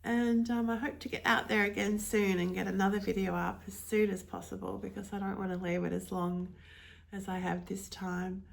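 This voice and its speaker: A woman vlogger, nasal and congested from hay fever, casually showing off her thrift-shop finds in a gentle, unhurried way with frequent ums. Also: medium pitch, level tone, vocal fry.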